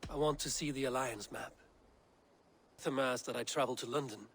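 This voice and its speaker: A man playing a measured, authoritative Viking leader in a video game, speaking deliberately. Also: mid-range voice, fairly even intonation, fairly bright tone, slight background noise, lightly acted. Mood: calm, thoughtful. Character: firm, measured Viking leader settling disputes. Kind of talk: video game cutscene acting.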